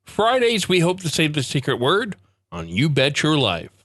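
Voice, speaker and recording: A man's voice: a little high, wildly swooping pitch, normal speed, a bit bright, dry recording, studio quality. A man reading a scripted introduction as the host of a podcast.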